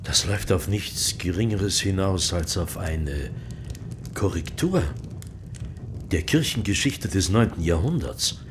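A learned seventeenth-century monsignor, speaks in a lowish voice with very varied intonation. He is courteous, cautious and a little pedantic, in radio drama style, with faint rumble behind. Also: expressive, unhurried. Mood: cautious, deferential. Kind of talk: German radio drama acting.

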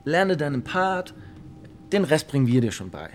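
An actor playing an eager, bossy young gentleman in a radio drama, breezy and insistent as he cajoles. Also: a little high, sing-song, normal speed. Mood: cajoling, insistent.

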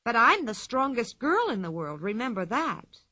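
A woman's voice: fairly high pitch, big pitch swings, normal speed, sharp tone, no room sound, clean studio sound, heavily acted. A woman reading a storybook aloud, voicing a cheerful, fearless and very strong little girl who speaks in a chirpy, matter-of-fact way.

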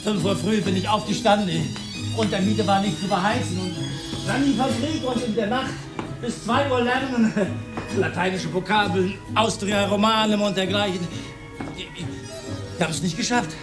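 An actor playing an awkward, pedantic older working man with a halting, formal way of talking. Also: sits high, natural voice. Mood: earnest, regretful. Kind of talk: radio drama acting. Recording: roomy radio drama, music and noise behind.

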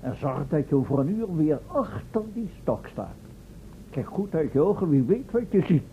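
An actor playing a pompous, domineering, eccentric professor in a radio play, fussy and commanding. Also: male voice, a little high, sing-song, moderate pace. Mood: brisk, grandiose. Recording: dull old radio recording, faint noise.

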